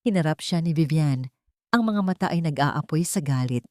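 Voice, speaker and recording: A woman with a Filipino accent tells a dramatic audio story. Her voice sits on the low side and sounds dry and close, with no room around it. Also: lively intonation, moderate pace, dark tone, dry recording. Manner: dramatic storytelling, voicing characters' lines. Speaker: narrator. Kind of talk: scripted audio drama narration.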